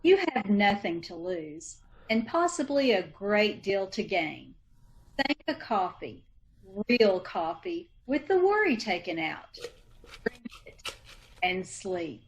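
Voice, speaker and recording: A woman's voice: medium pitch, very animated, slow pace, a little hiss or hum, poor audio. A woman playing a reassuring announcer, reading a commercial in old-time radio style. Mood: persuasive, reassuring.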